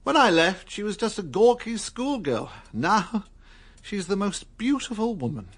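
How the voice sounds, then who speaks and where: Breathy, earnest gentleman lord. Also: high-pitched, big pitch swings, a little slow, heavily acted. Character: courteous, earnest male aristocrat and returned army captain. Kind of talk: radio drama dialogue.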